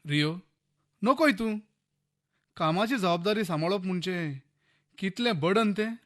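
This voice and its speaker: An actor playing a patient, helpful husband and master of the house with a Goan accent in a radio play. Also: male voice, high voice, lively intonation, unhurried, fairly bright tone. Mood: questioning. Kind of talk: Konkani radio play acting.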